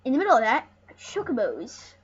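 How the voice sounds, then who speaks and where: An excitable, rambling host giving casual, jokey commentary over a video game. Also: medium pitch, brisk, breathy edge. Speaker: host of a gaming channel. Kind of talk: casual let's play commentary. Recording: dull lo-fi mic with loud noise.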